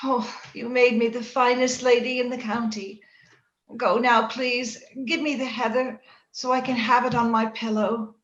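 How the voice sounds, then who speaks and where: Actress as fevered young lady, dreamy romantic radio acting, very high voice, echoey dull recording. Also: level tone, a little slow, a bit bright. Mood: wistful, weak. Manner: dreamy, yearning, theatrical. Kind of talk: old-time radio drama acting. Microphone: recorded remotely over video call. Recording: echoey, dull video-call sound, music underneath.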